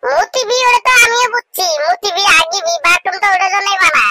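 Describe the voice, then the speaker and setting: A man voicing a young village man who teases his friend in crude, rough banter, speaking. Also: extremely high pitch, a little fast, heavy background noise. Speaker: voice actor. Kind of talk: comedic cartoon dialogue. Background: loud music.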